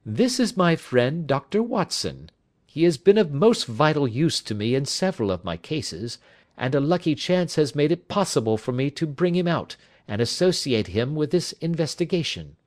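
A man's voice: on the high side, big pitch swings, moderate pace, voice pushed up. A man playing an assured, courteous detective in an audiobook, speaking with precision. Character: brisk, assured male detective. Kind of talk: audiobook character voice.

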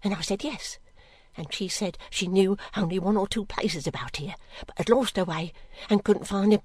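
A woman's voice: mid-range voice, normal speed, a little mellow, dry recording, studio quality, close to an everyday voice. A woman voicing a frightened, piteous young servant girl in an audiobook, pleading and repeating herself in a plaintive way. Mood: anxious, pleading. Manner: plaintive, pleading, breathlessly repetitive. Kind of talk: audiobook character acting.

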